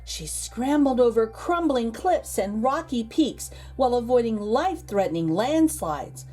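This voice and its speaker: Expressive high-pitched woman reading a storybook. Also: faint music behind. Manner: expressive, dramatic emphasis with pauses and hushed asides. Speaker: read-aloud host and storyteller. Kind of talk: children's picture book read-aloud.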